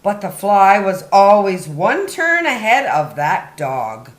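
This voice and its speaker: A woman hosting story time, reading a picture book aloud to young children with deliberate, dramatic emphasis on key words. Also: mid-range voice, very animated. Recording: roomy, echoey indoor sound.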